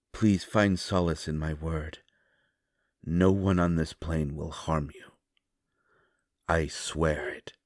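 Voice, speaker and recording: A man playing a gentle, solemn otherworldly seer in an audio roleplay, speaking in measured, formal phrases with sorrowful reassurance. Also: low-pitched, no echo, studio quality. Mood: calm, reassuring. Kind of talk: scripted audio roleplay, comforting.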